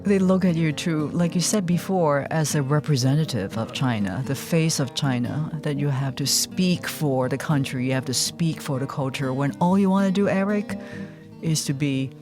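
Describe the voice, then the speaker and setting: A woman hosting a podcast, narrating and asking measured, composed questions. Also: low-pitched. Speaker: podcast host. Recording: podcast voice over guitar music.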